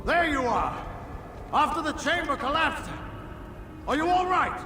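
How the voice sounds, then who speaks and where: Game prince-commander, urgent and protective, calling out very high through echo. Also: male voice, expressive, unhurried. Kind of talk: video game voice acting. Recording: echoey, music behind.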